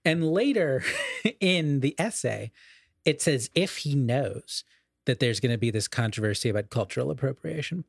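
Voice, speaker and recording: A man in a conversational podcast discussion of literature, explaining in an erudite way and halting mid-phrase as he works out his points. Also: mid-range voice, animated, gravelly, breathy edge, dry recording, studio quality.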